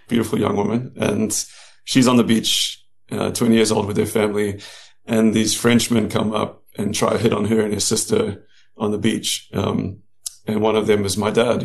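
Gravelly low-voiced man. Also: darkish tone. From New Zealand and South Africa. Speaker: guest, novelist. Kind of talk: casual interview storytelling.

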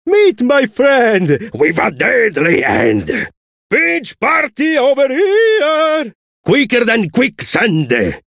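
A manic scorpion villain from a video game, gloating with an Italian accent. The voice is gravelly and creaky, swinging widely in pitch. He stretches his words out slowly like a grand conductor of chaos. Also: huge pitch swings, a bit bright, dry recording, studio quality, heavily acted. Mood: menacing, exuberant, then sinister, gloating. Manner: theatrical, drawn-out, punning delivery. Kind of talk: video game character voice lines.

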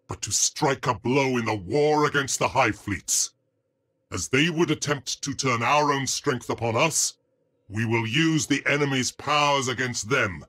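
An actor playing a stern, solemn space marine commander in a video game cutscene, with a husky voice and grave, formal, commanding delivery. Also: male voice, medium pitch, sharp tone.

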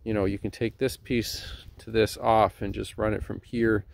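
A man giving a relaxed, chatty review outdoors in his backyard, with chuckles and fillers, filming on a handheld phone. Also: lowish voice, normal speed, vocal fry. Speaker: homesteading vlogger. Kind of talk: casual outdoor product review.

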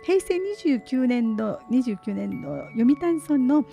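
Brief: woman radio host, middle-aged, fairly high and soft-toned, polite conversational delivery, faint music behind. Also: big pitch swings, slow, creaky. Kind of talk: live radio hosting, announcing and interviewing. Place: small community radio studio. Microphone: studio boom microphone with headset headphones.

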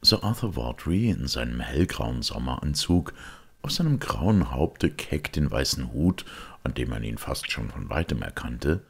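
A man reading a literary detective story aloud as an audiobook narrator, in a measured, expressive storytelling delivery with a gravelly voice. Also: a bass voice. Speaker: audiobook reader.

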